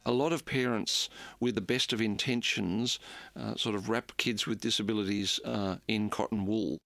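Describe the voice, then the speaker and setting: Lowish, dry-sounding Australian man. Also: steady tone, moderate pace, creaky, a bit bright, no room sound. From Sydney, Australia. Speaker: interviewee. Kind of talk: reflective personal recollection.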